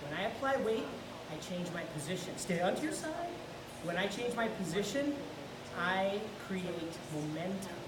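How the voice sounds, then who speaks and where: A woman's voice: mid-range voice, animated, normal speed. A woman teaching jiu-jitsu, encouraging and walking a student through each move as they grapple. Her voice comes from far across a large gym, with a roomy echo and a noisy background. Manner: encouraging, coaching step by step while grappling. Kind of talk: hands-on technique teaching. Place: large indoor gym with mats. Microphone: camera far across the room.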